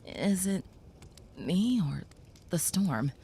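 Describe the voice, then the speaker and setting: A woman voicing a gentle, protective young female vampire in an audio roleplay, speaking softly and reassuringly, sometimes whispering. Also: fairly low pitch, lively intonation, extremely slow, a little hiss or hum, full character voice.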